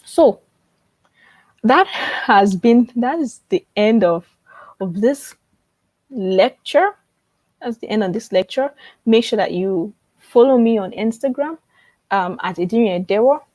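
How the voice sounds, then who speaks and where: A woman's voice: on the high side, lively intonation, measured pace, long pauses, darkish tone, dry recording. A woman teaching and promoting informally on a live webinar, often repeating phrases and checking in with her viewers. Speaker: online publishing coach hosting a live webinar.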